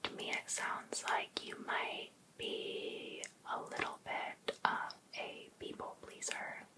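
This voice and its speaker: Woman acting as jealous female life coach, ASMR roleplay, whispered, very slow, coaxing, possessive, sweetly pushy. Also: lightly acted. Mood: soothing, probing. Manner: slow, breathy, coaxing whisper addressed to one client.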